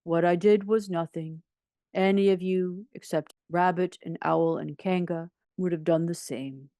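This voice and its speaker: A gloomy old toy donkey from a children's story, performed by a woman. He drags out his words with heavy self-importance and a low, gravelly creak, sounding mournful and put-upon. The sound is close and dry. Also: fairly even intonation, measured pace, mellow, no echo. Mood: pompous. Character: gloomy, pompous, long-suffering old toy donkey, male.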